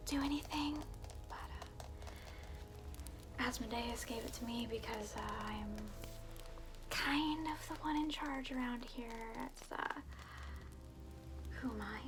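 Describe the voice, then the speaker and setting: A smug, sardonic evil alter ego welcomes a newcomer to hell in a soft, slightly high whisper, as a woman acts it out. The drawl is slow and unhurried, full of mocking asides and repeated words, with clear music playing underneath. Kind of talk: whispered ASMR roleplay.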